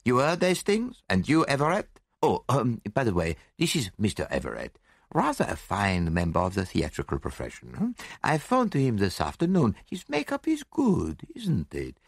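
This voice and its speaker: A man voicing a shrewd, self-assured foreign detective in an audiobook, speaking briskly and precisely with little interjections. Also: on the low side, creaky, no echo, studio quality, voice pushed up, heavily acted. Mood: brisk, pleased.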